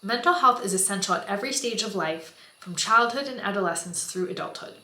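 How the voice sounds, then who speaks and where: A woman's voice: medium pitch, expressive, fairly quick, pushed and bright, roomy sound, poor audio. A woman with a stuffy, congested nose, chatting casually and explaining as she does her makeup, with frequent fillers and apologetic asides. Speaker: beauty and mental health vlogger. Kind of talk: casual talking-head explainer while doing makeup. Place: home in Goma, Africa.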